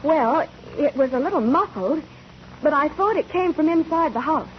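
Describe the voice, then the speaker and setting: A woman's voice: high voice, lively intonation, normal speed. An actress playing a composed young woman on the witness stand, careful and polite, in 1940s radio style. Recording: dull, noisy 1940s radio recording.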